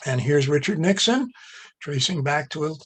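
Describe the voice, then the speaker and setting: A man's voice: a little high, expressive, normal speed, husky. A man giving a chatty, amused slide-show lecture, with asides and fillers as he goes.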